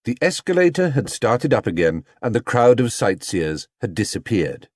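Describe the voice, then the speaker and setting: Very animated male storybook narrator. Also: mid-range voice, moderate pace, no room sound, studio quality. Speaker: audiobook narrator. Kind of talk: expressive scripted children's story narration.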